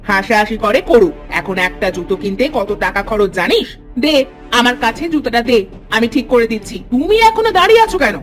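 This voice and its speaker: Woman doing a shrill-pitched scolding miserly housewife. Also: expressive, moderate pace, faint music behind, natural voice. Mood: cross, dismissive. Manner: scolding, exclaiming, questioning. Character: miserly, scolding, sharp-tongued housewife and mother. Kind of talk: Bengali fairy-tale cartoon voice acting.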